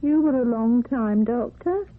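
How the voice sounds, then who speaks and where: A woman's voice: fairly high pitch, unhurried. An actress playing a fussing, talkative, matter-of-fact landlady in a radio drama. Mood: chatty. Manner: talkative, animated. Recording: tinny narrow-band old radio recording.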